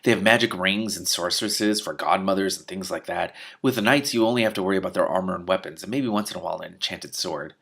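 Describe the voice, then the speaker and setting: A man's voice: sits low, quick pace, gravelly, few pauses, fairly bright tone, exaggerated voice. A man voicing a feisty, no-nonsense girl with a firm, brusque manner. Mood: firm, matter-of-fact.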